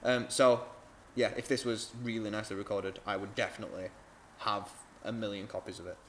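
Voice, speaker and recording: A man's voice: on the low side, steady tone, a little fast, a bit bright, a little hiss or hum. A young man hosting a music review, talking casually with many fillers and frank opinions. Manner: rambling, filler-heavy, frank.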